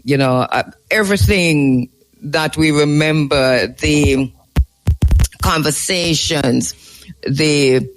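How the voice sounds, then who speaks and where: High-voiced man hosting radio talk. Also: slow pace, faint music behind, rough recording. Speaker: radio host. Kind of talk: conversational live radio talk.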